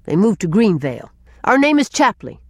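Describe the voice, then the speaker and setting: A woman's voice: mid-range voice, sing-song, moderate pace, slightly rough, no echo. A woman voicing a cross, blunt woman householder in an audiobook story. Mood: curt.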